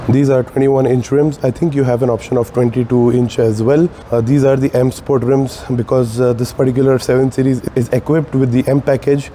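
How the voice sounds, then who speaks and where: Male car vlogger, sore throat, mellow darker tone, chatty with lots of "uh"s, slight room hum behind. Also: mid-range voice. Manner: chatty, many "uh" fillers, addresses viewers as guys. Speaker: car reviewer. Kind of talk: casual walkaround car review. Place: car showroom.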